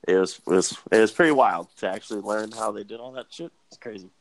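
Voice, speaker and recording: A man's voice: lowish voice, fast talker, rough recording. A young man co-hosting a podcast, talking in a laid-back way and swearing freely. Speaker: podcast co-host. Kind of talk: casual chat.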